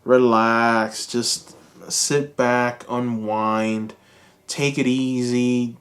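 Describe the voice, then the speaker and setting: A Cuban American man giving a calm, reassuring tarot reading, speaking slowly and soothingly to his viewers. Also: medium pitch. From United States. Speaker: tarot reader. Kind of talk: pick-a-card tarot reading.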